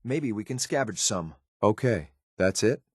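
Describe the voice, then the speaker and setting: Cool, lethal android soldier for a video game: low voice, clipped military deadpan, very dry close recording. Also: male voice, measured pace, slightly rough, dry recording. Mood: confident, matter-of-fact. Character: stern, loyal synthetic courser soldier, cool and lethal. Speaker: combat companion in a video game. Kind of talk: scripted video game voice lines.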